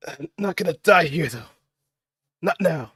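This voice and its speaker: Man acting out a strained, shouting mecha pilot. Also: fairly high pitch, lively intonation, unhurried, breathy edge, no echo, studio quality, voice pushed up, full character voice. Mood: desperate defiance. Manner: dramatic, forceful, defiant. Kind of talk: video game battle voice acting.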